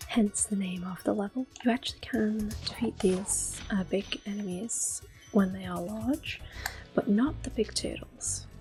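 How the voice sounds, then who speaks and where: A woman giving soft-spoken ASMR game commentary in a hushed, breathy whisper, gentle and unhurried, close to the microphone. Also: medium pitch, faint music behind. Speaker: gameplay video host.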